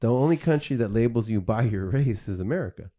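A man's voice: mid-range voice, animated, quick pace, mellow. A man hosting a podcast, chatting casually and thinking aloud with many restarts. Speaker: podcast host.

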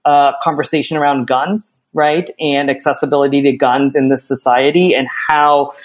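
An American man speaking thoughtfully as an interview guest, often checking in with a quick "right?". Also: medium pitch, steady tone. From small town in Pennsylvania, United States. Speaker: interview guest, theatre artistic director. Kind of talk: conversational interview.

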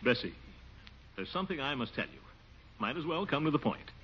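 An actor playing a pompous, easily flustered middle-aged city official in a 1940s radio comedy. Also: male voice, on the high side, very animated, a little fast. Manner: flustered, blustering, mutters to himself. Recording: muffled old radio broadcast with hiss.

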